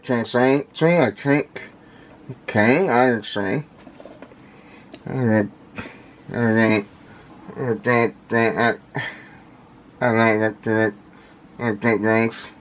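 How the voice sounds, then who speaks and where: A man giving casual unscripted product commentary in halting, repetitive fragments, with labored, indistinct articulation from a speech impediment. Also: mid-range voice, fairly even intonation, slight background noise. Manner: halting, repetitive, fragmented phrasing with effortful delivery. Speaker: vlogger unboxing audio gear.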